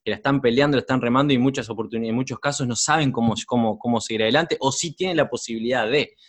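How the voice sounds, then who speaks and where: A man hosts an interview. He asks long, winding questions, often breaking off and starting a phrase again. His voice has an ordinary male pitch. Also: fast talker. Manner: rambling, restarts questions, voseo. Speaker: host.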